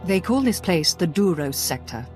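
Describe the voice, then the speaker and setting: A stern imperial intelligence officer in a video game. She speaks in a very low, composed voice, grave and clipped, with faint music behind her. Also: big pitch swings, moderate pace, a little mellow. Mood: grave briefing. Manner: clipped, grave briefing delivery. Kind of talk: video game character acting.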